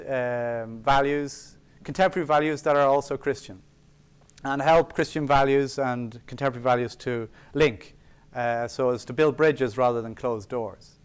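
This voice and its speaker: Earnest man with a smooth, resonant voice. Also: mid-range voice. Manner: earnest and reflective, with self-deprecating asides. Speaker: sculptor giving a lecture. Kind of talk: public lecture on sacred art. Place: college auditorium.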